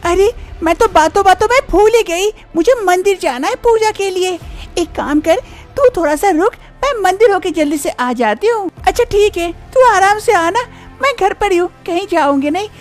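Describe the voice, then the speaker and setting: A woman voicing both twin sisters in a cartoon story, a young wife and her scheming sister, lively and teasing. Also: very high voice, moderate pace, soft background music. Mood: playful.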